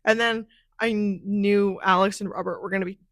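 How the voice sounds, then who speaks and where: A hoarse young woman hosting a podcast, chatting casually and rambling with lots of fillers. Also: mid-range voice, steady tone, normal speed, fairly bright tone, no room sound, studio quality. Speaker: podcast host. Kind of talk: casual chat.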